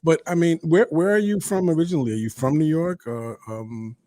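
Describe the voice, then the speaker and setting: A man's voice: a little high, brisk, dark tone, no room sound. A man hosting a casual interview, asking long, rambling questions and laughing along with his guest. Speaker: interview host.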